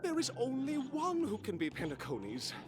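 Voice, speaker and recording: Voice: high voice, wildly swooping pitch, normal speed, background noise. An actor playing an exuberant, grandiose festival announcer in a video game, hyping a contest theatrically. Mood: grand excitement. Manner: grandiose, showy. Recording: loud music underneath. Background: game music.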